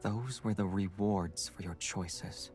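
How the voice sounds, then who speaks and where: A man's voice: deep voice, takes it slowly, a little mellow, soft background music. A man playing a cold, weary young swordsman, restrained and grave, in a dramatic game story. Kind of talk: dramatic character acting in narrated game story.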